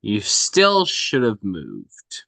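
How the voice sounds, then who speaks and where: A man's voice: lowish voice, wildly swooping pitch, extremely slow, gravelly, bright, forceful tone, no echo, full character voice. A man playing a cold hunted assassin in a screenplay table read, terse and demanding as he interrogates. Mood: cold, menacing. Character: cold, relentless male assassin on the run, interrogating.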